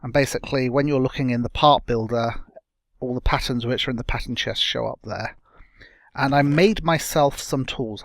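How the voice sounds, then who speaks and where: Young man, slightly croaky, chatty self-correcting gameplay talk, mid pitch, dry recording. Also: no room sound. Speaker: let's play host. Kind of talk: casual gameplay commentary.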